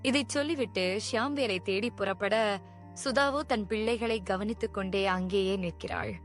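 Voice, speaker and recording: A woman narrating a children's cartoon story in an even, storytelling voice. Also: mid-range voice, moderate pace. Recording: music bed behind.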